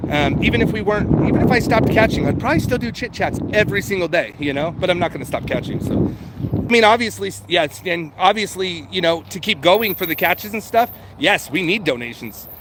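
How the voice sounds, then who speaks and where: A man's voice: high-pitched, expressive, fairly quick. A man chatting casually and informally to viewers as a livestream host, swearing now and then and addressing them directly. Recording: noisy outdoor street and vehicle background.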